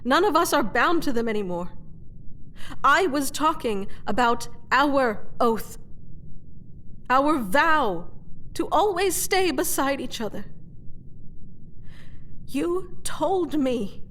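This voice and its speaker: A woman playing a proud, reckless captured warrior queen who is wounded and secretly in love, in a theatrical, halting fantasy audio roleplay. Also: high-pitched, expressive, fairly bright tone, full character voice. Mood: hurt, pleading. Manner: theatrical, halting, emotional delivery. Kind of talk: dramatic fantasy audio roleplay addressed to the listener.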